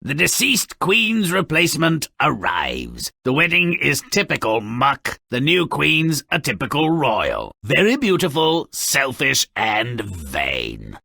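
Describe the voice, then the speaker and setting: A man voicing a gleefully nasty, sardonic gnomish narrator in a fairy-tale video game, with a raspy, gravelly voice and a theatrical, drawling delivery full of relish. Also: mid-range voice, huge pitch swings, takes it slowly, hardly any pauses, bright, forceful tone, no room sound, clean studio sound, full character voice. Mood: dry disdain. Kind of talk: theatrical scripted storybook narration.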